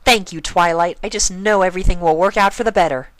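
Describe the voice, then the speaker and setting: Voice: mid-range voice, fast talker, fairly bright tone, dry recording, pitched above the performer's own voice, natural voice, poor audio. A storyteller voicing a bubbly but firm, caring young female pony, speaking in a direct, chirpy way. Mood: warm.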